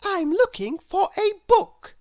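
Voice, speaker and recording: Woman putting on a high-pitched, inquisitive little girl. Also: sing-song, slow pace, exaggerated voice. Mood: curious, wondering. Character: curious little girl character, endlessly inquisitive.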